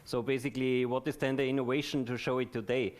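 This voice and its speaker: Mid-pitched man explaining at a talk. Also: little pitch movement, fast talker. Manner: explanatory, methodical. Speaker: presenter. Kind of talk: live technical talk.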